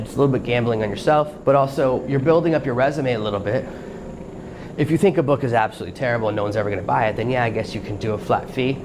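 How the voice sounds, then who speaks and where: A man of middle pitch hosts a solo podcast, walking listeners through each step in a practical, coaching manner. His voice sits at an everyday register, with a faint hiss behind it. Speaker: podcast host. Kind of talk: solo podcast advice talk.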